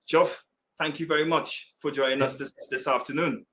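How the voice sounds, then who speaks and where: A man from Trinidad and Tobago hosting a sports podcast, formal and earnest, slowing down for key words. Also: fairly high pitch, fairly even intonation, a bit bright, a little hiss or hum. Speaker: podcast host. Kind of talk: podcast interview.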